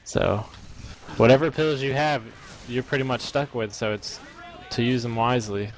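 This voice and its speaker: A man's voice: mid-range voice, fairly even intonation, moderate pace, slight background noise, poor audio. A man co-casting a video game match with casual analysis and tentative, conversational explanations. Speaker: esports co-caster.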